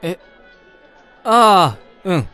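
Reserved man, higher-pitched, over music. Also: very animated, very slow, bright, forceful tone, full character voice. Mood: absent, low-key. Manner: understated, low-key reactions. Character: reserved, detached man, narrator among old school friends. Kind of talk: radio drama narration and dialogue.

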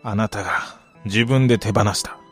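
Actor as a low-voiced cynical male stranger. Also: moderate pace, faint music behind, close to an everyday voice. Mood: cold, probing. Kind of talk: Japanese radio drama acting.

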